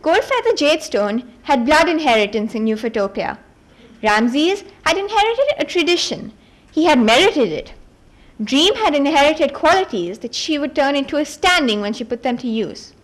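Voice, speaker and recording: A woman reading a fantasy novel aloud at a book event, in an expressive storybook manner with the odd stumble over words. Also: high-pitched, normal speed.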